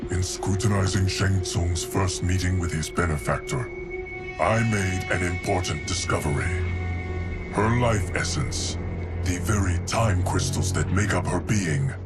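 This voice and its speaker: An actor playing a stoic, scholarly guardian in a video game, with a formal, deliberate delivery. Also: male voice, deep voice, over loud music. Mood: grave, certain. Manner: formal, deliberate, matter-of-fact. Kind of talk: dramatic video game cutscene acting.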